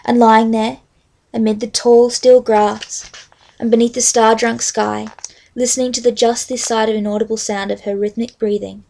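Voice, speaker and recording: A young adult woman with an Australian accent, speaking as a vlogger in a halting, unscripted-sounding way. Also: on the high side, flat delivery, creaky, warm tone. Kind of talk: casual rambling chat with a read-aloud book passage.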